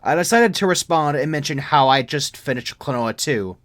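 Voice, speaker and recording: A man's voice: a little high, expressive, normal speed, a bit bright, dry recording. A young man reading a scripted first-person story as a creepypasta narrator. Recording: music behind the voice. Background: music.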